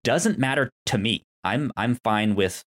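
A man co-hosting a podcast in casual chat, halting as he talks and often stammering and repeating syllables. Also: mid-range voice, fairly bright tone. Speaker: podcast co-host.

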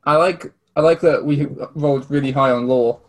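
A man's voice: fairly high pitch, steady tone, normal speed. A man chatting casually as a player in a tabletop game. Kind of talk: casual chat.

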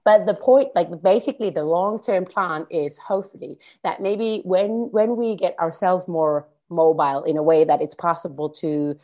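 A woman's voice: on the low side, normal speed, hardly any pauses. Sex: woman. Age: 30s. Native: Finnish. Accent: Finnish. Style: casual chat guest. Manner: laughing, restarting. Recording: slightly muffled. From Finland. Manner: laughs often, talks in restarts. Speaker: guest, school founder. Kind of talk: casual podcast conversation.

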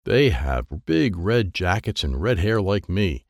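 A man's voice: low-pitched, very animated, slow, dry recording, studio quality. A man reading a children's audiobook in short, simple, childlike sentences. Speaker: audiobook narrator.

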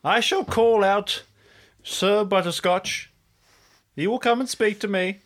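A man voicing a pompous, theatrical gentleman who declaims in grand, formal sentences for an improvised audio drama. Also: high voice, slow, voice pushed up. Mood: grandly resolute.

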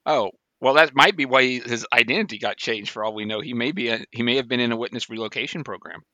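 Bright-voiced man explaining trivia, halting. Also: medium pitch, no room sound, lo-fi sound. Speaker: podcast co-host. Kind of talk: casual chat, trivia commentary.

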